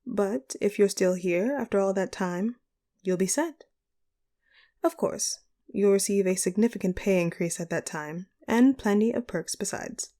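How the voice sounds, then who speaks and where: Casting: a woman as courteous female supervillain boss for audio roleplay; soft dark tone, composed, articulate, pragmatic. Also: medium pitch, close to an everyday voice. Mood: encouraging, explanatory. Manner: formal, measured, articulate phrasing. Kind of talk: scripted audio roleplay monologue addressed to the listener.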